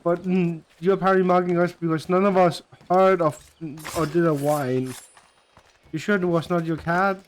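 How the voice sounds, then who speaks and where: A man's voice: high voice, steady tone, moderate pace. A man chatting casually on a game stream, often groping for the right word. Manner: gropes for words, trailing off.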